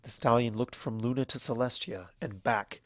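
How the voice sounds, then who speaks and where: A man narrates scripted fiction in the manner of an audiobook. His voice sits at a medium pitch. The recording is dry, with little room sound. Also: moderate pace, no room sound.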